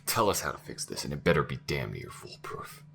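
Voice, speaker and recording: Casting: male actor as a bitter alien crewmate for sci-fi audio drama, extremely low register, expressive swings, blunt delivery. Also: brisk, gravelly, slight background noise, full character voice. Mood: furious disbelief. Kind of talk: ASMR-style scripted sci-fi roleplay acting.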